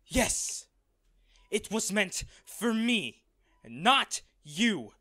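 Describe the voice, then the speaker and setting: A man playing a wealthy, devoted and distraught lover in an intimate audio roleplay, speaking earnestly and haltingly. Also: sits high, very animated, pushed and bright, full character voice. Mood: pleading, upset. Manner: halting, emotional, earnest delivery with pauses.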